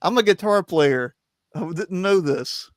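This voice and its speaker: A man's voice: sits high, expressive, unhurried, dry recording, clean studio sound. A man hosting a casual roundtable stream, rambling with lots of fillers and frequent laughs. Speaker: host of a comics stream. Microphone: remote stream mic.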